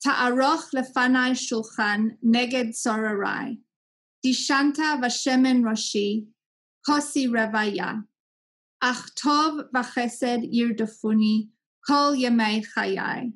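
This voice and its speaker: Higher-pitched woman reciting scripture. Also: fairly even intonation, fast, fairly bright tone. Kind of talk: formal psalm recitation. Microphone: video call, computer microphone.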